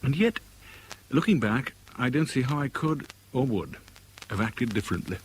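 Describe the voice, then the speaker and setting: An actor playing a wry, orderly British banker in his forties in a 1970s radio drama, measured, formal and articulate. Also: medium pitch, animated, natural voice, poor audio. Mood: wry, reflective. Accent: British English. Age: 40s. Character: wry, orderly forty-year-old sacked merchant banker, male. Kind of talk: 1970s British radio drama acting, first-person narration. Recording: 1970s radio recording, faint background noise.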